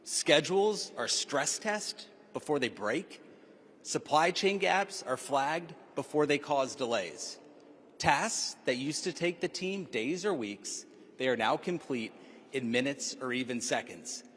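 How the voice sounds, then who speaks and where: A man presents to an audience in an emphatic, sales-like way, his pitch rising and falling a lot. The sound carries a long hall reverb with faint background noise. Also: expressive, slow, a bit bright. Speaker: company executive presenting. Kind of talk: scripted public presentation.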